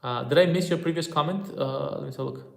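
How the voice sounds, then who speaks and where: A man's voice: on the high side, fast talker, gravelly. A man, a stand-up comedian chatting casually on a livestream and answering viewer comments as he goes. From Romania. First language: Romanian. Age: 30s. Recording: echoey room.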